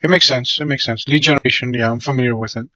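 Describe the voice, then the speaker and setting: A man's voice: mid-range voice, fast talker, pushed and bright, no echo, dull audio. A man from Mexico, a real estate agent on a sales call, talking hesitantly and restarting his words.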